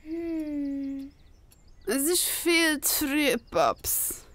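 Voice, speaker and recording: A woman's voice: sits high, lively intonation, extremely slow, fairly bright tone, no room sound, heavily acted. A woman voicing a helpful, curious young boy in a children's story, with a Swiss German accent and a childlike, exclamatory way of talking. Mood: sleepy, doubtful.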